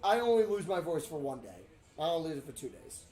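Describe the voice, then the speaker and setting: A young man giving casual color commentary on a football game at home, rambling and restarting, yelling at big plays. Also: fairly high pitch, lively intonation, brisk. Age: young adult. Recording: echoey room.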